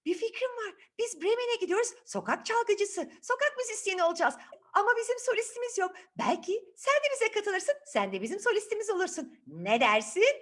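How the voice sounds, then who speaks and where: Woman playing an eager, high-pitched donkey. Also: big pitch swings, brisk, a bit bright, heavily acted. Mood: enthusiastic. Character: friendly clever donkey, enthusiastic band leader. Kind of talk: children's fairy tale storytelling.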